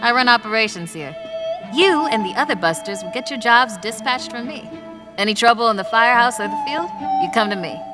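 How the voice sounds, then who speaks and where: An actress playing Kat, a brisk, dry, matter-of-fact woman who runs operations. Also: a little high, expressive, music in the background, natural voice. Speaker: operations dispatcher. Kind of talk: video game cutscene voice acting.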